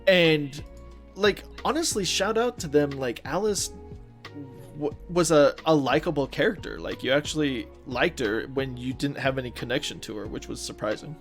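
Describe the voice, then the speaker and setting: A man's voice: on the high side, normal speed, faint music behind. A young man co-hosting a casual podcast, talking with enthusiasm and saying "like" a lot. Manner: enthusiastic, peppered with "like". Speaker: podcast co-host. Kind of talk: casual chat.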